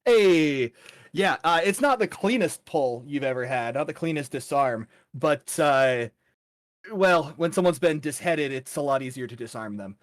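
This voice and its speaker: A man's voice: a little high, lively intonation, a little slow, dry recording, clean studio sound. A man narrating a tabletop game as game master in a casual, chatty, colorful and informal way.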